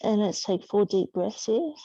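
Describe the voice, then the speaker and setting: A woman teaching a yoga class, giving soothing, unhurried guidance with pauses between instructions. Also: mid-range voice, animated, dark tone, no room sound. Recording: dull, muffled lo-fi sound.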